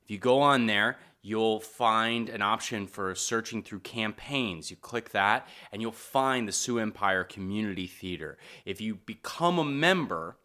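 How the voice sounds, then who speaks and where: A man's voice: lowish voice, a little slow, hardly any pauses, pushed and bright. A man making a casual donation appeal as a presenter, informal and chatty. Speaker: theater fundraiser presenter.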